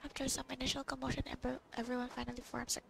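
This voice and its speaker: A woman's voice: sits high, completely flat delivery, rapid-fire, gravelly, noisy background. A woman giving casual gameplay commentary, with informal, dry asides. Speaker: gamer commentator. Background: game music.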